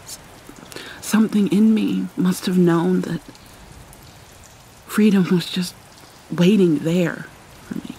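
Slow, whispery, sorrowful vampire mermaid played by a woman. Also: on the low side, low-quality recording. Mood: troubled. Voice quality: soft, breathy, often slipping into whisper. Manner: halting, mournful, dramatic pauses. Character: vampire mermaid, sorrowful young-looking princess, centuries old, haunted. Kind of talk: soft-spoken ASMR roleplay monologue. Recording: steady rain and water noise behind. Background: rain ambience.

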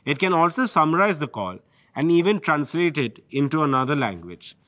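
A man's voice: a little high, very animated, a little fast, a bit bright, no room sound, studio quality. A man with an Indian English accent giving a polished, scripted product presentation from behind a lectern. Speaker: corporate presenter. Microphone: lectern microphone.

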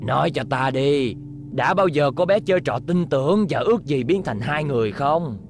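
A man voicing a sly, scheming old scientist with a gravelly voice and coaxing manner in a cartoon dub. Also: a little high, expressive, normal speed, background noise. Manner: sly, coaxing, chuckling villain.

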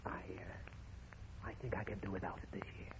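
A man's voice: low voice, steady tone, moderate pace, natural voice. An actor playing an exasperated, coaxing father of a naughty little girl in a 1930s radio comedy. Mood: gentle, touched. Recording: muffled, noisy 1930s radio recording.

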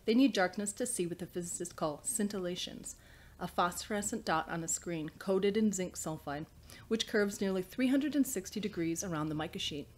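A woman's voice: fairly low pitch, normal speed. A woman in her thirties, an author reading and presenting her novel in a small study. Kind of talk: audiobook-style reading, scripted narration. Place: small indoor study with bookshelves.

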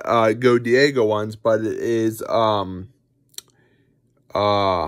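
Young guy casually showing off gadgets, kinda halting, says stuff twice, average pitch, filmed at arm's length. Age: young adult. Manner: halting, repeats himself. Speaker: amateur vlogger showing gadgets. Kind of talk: casual unscripted product demonstration. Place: small furnished bedroom indoors. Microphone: phone or camera at arm's length.

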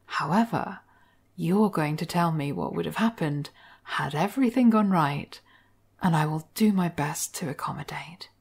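A woman playing a manipulative, obsessive therapist in an intimate ASMR roleplay, speaking softly and coaxingly, often in a whisper. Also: low voice, normal speed, vocal fry, husky, heavily acted. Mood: coaxing, sly. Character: manipulative, obsessive female therapist, soothing and sly. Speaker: voice actor in audio roleplay. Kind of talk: intimate scripted ASMR roleplay, addressed to the listener.

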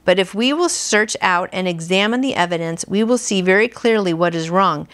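A woman's voice: medium pitch, moderate pace, fairly bright tone. A woman in her fifties hosting a devotional, reading aloud in an earnest, conversational way. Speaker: devotional host. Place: small furnished room at home, indoors.

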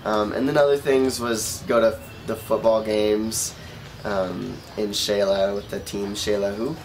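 A young man with an American accent speaks casually, as in a relaxed interview. His voice sits fairly low. He is recorded on an open-air terrace, with clearly audible background noise. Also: a little slow. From Oregon, United States. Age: young adult. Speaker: volunteer being interviewed. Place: outdoor rooftop terrace.

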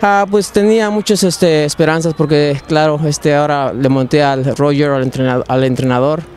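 A young adult man, a jockey, answering interview questions outdoors at a racetrack in a halting way with repeated words, on a handheld mic. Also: a little high, steady tone, a little mellow. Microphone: handheld mic held by interviewer.

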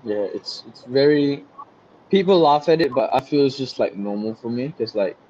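A young man with an Australian accent, a guest chatting casually on a video call, relaxed and rambling. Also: medium pitch, normal speed, slight background noise. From Singapore. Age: young adult. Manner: relaxed, rambling with many likes and you-know-what-I-means. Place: small bright room indoors. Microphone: headset. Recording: dull lo-fi video call audio.

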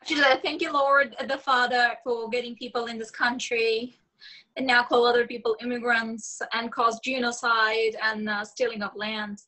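A woman's voice: on the high side, level tone, normal speed, bright, forceful tone, low-quality recording. A young woman chatting casually on a video call in a blunt, sardonic way. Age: young adult. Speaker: actor at a table read. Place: room indoors, on a video call.

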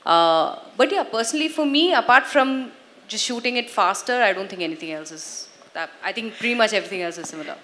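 A woman's voice: medium pitch, animated, a little fast, a bit bright. A woman, answering press questions on a stage with a handheld mic, fluent and chatty. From South India. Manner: fluent, chatty, acts out quotes. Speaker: actress on a press panel. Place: large hall on a stage.

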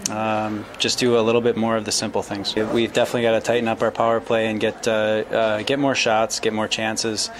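A man's voice: on the low side, completely flat delivery, background noise. A man in his thirties, a hockey player, giving a hesitant post-game interview into a handheld mic in a corridor. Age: 30s. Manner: hesitant, slow to form sentences. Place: small indoor arena corridor.

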